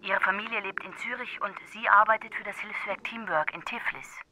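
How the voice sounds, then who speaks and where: Sharp, bright woman on the phone. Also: mid-range voice, fairly quick, faint music behind. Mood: polite, tentative. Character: female colleague phoning from abroad, hesitant and polite. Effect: telephone line. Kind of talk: German radio crime drama. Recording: sharp phone line, faint noise.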